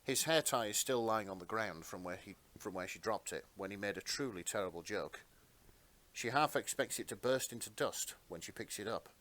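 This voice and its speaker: Lower-voiced man narrating fan fiction dramatically. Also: moderate pace, a bit bright. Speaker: narrator, podcast host.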